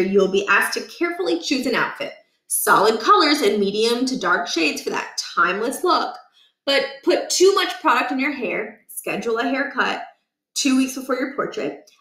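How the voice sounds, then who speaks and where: A woman reading a children's book aloud as expressive narration, with measured, emphatic phrasing. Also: medium pitch, echoey room, poor audio.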